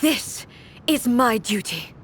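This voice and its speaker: A woman voicing a solemn, stern immortal warrior goddess ruler in a video game, speaking gravely and formally with unyielding authority. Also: a little high, big pitch swings, takes it slowly, a bit bright, faint music behind, exaggerated voice. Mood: solemn, resolute. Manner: grave, imperious, formal diction. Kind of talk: scripted video game dramatic voice acting.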